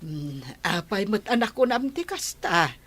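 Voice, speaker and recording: A woman voicing a gentle, elderly grandmother who speaks in a soft, reassuring manner in a radio drama. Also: medium pitch, sing-song, measured pace. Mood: fond, soothing. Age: older.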